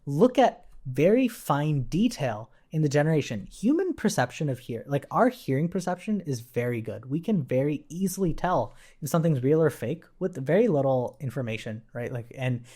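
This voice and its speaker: A man's voice: on the high side, lively intonation, moderate pace, few pauses, warm tone. A man giving an informal coding tutorial, thinking aloud as he explains, repeating phrases and checking in as he goes. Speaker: programming instructor. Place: indoors at a computer with keyboard typing.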